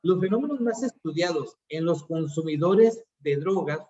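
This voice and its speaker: A man's voice: a little high, animated, moderate pace, lo-fi sound, crowd noise. A man giving an online seminar on addiction counseling, explaining concepts step by step with frequent fillers. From Mexico. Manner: explanatory, uses fillers like "este".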